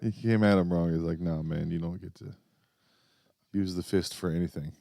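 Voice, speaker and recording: A man with a fairly low voice, recorded close and dry. He banters casually like a comedian, tossing out wry short quips. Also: fairly even intonation, normal speed, creaky, mellow, dry recording. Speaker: comedian, podcast co-host.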